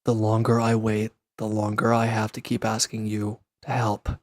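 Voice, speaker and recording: Man acting as recovering male villain, lowish voice, dry close sound, gruff, guarded, curt, hesitant sighs, roleplay audio drama. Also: monotone, normal speed, no echo, lightly acted. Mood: guarded, stubborn. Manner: curt, grumbling, defensive, with sighs and hesitant breaks. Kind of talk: scripted roleplay audio drama addressed to the listener.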